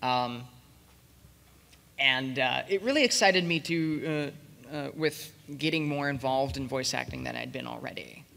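Bright-voiced man on an echoey panel. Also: mid-range voice, creaky. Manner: halting, jokey. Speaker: convention panelist, amateur voice actor. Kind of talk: casual panel anecdote. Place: large convention room with audience. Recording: echoey hall, noisy public-address pickup.

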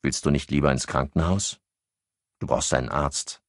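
A skeptical detective keeps questioning bluntly, voiced by a man reading the book in a low, dry voice. He stays doubtful and direct throughout. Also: moderate pace, dry recording.

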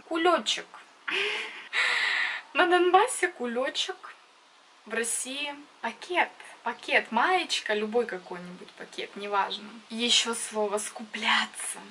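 A young woman in her twenties from Donbass with a Donbass accent, chatting casually to camera in a small wood-panelled room, blunt and quick to laugh. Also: on the high side, sharp tone, slight background noise. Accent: Donbass Russian. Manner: chatty and blunt, laughs often, gestures with hands. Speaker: vlogger. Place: small indoor room with wooden wall.

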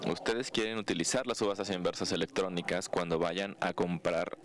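A man's voice: low-pitched, steady tone, moderate pace. A man interpreting a lecture aloud, clause by clause.

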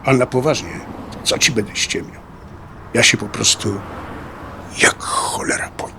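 An actor playing a middle-aged motorcyclist, an anxious, joking atheist who talks in a blunt, colloquial way in an audio drama. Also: male voice, medium pitch, normal speed, vocal fry, noisy background, lightly acted. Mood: earnest, afraid. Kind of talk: dialogue acting in an audio drama.

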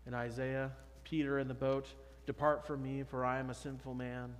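Pastor in his thirties, casual emphatic preaching, mid-pitched voice, church reverb. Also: level tone, normal speed. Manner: conversational, emphatic preaching with rhetorical questions. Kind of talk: sermon. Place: church sanctuary, at a pulpit. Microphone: pulpit microphone, far camera.

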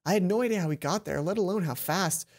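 A young adult man reading a visual novel aloud as a let's player, breaking into dramatic, expressive commentary on a headset mic. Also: sits high, quick pace. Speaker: let's play host. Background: game music.

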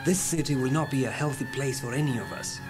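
A man's voice: mid-range voice, wildly swooping pitch, fast, music in the background. An actor voicing an earnest, idealistic male revolutionary, speaking in formal, stiff English in an early 1980s radio play.

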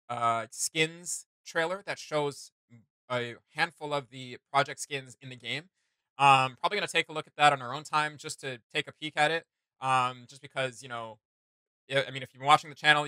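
A man's voice: medium pitch, sharp tone, clear, resonant tone, dry recording. A man in his thirties giving casual reaction commentary, rambling along with emphatic slang. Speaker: reaction channel host. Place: small indoor home studio room.

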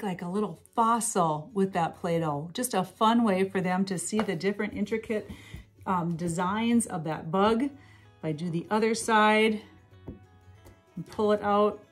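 A middle-aged woman demonstrating educational toys at a table in a small room, explaining each step clearly and cheerfully, with faint music behind her. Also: medium pitch. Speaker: toy seller demonstrating products. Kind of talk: product demonstration.

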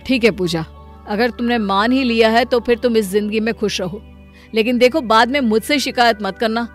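A concerned young husband in an animated family drama , voiced by a woman. He advises earnestly and with some reproach, over background music. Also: on the high side, moderate pace, natural voice. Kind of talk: animated Hindi family story dialogue.

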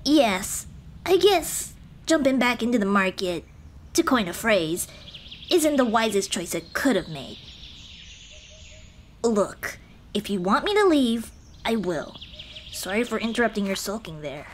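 A woman's voice: fairly high pitch, sing-song, a little hiss or hum. Woman voicing a shy, lilting little goblin girl. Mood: rueful. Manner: hesitant, timid, soft-hearted, halting. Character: small, shy, kind-hearted female goblin, gently comforting. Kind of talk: ASMR roleplay, intimate one-sided dialogue. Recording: birdsong and outdoor ambience behind voice. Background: birdsong, nature ambience.

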